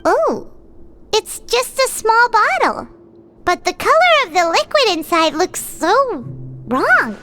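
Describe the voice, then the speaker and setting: A woman voicing a tiny, excitable, childlike fairy companion in a video game, skittish, chatty and dramatic. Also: slow, faint music behind, full character voice. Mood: wary. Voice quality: squeaky, childlike. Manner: refers to self in third person, dramatic. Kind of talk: video game character acting.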